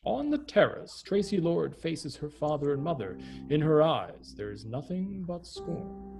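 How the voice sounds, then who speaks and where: A man's voice: fairly high pitch, big pitch swings, a little slow, creaky, darkish tone, faint music behind. A man narrating a radio drama in a scripted, theatrical announcing style.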